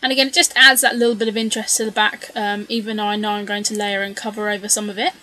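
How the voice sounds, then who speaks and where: A woman's voice: mid-range voice, level tone, fast talker, sharp tone. A woman narrating her scrapbooking process in a chatty, rambling way, full of ums and asides, her voice a little strained. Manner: chatty, rambling, many ums and self-corrections. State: slightly losing her voice. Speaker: scrapbooking video creator. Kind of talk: casual voice-over narration of a craft process.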